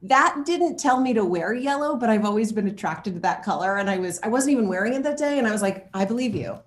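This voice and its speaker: A woman chatting casually as an interview guest, telling anecdotes in an animated, jokey way, with laughter close at hand. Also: mid-range voice, fast, reverberant. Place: at home, indoor room.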